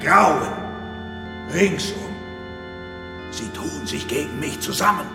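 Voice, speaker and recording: An actor playing a fanatical, menacing cult preacher in a radio drama, declaiming theatrically with drawn-out solemnity. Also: male voice, a little high, expressive, gravelly, heavily acted. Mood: fervent pleading. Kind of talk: German radio drama sermon and chanted prayer. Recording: echoey church-like hall, music behind.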